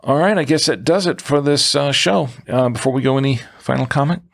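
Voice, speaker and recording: Male podcast host, mid-pitched, conversational, pausing often as he goes. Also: creaky. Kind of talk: conversational podcast hosting with listener mail.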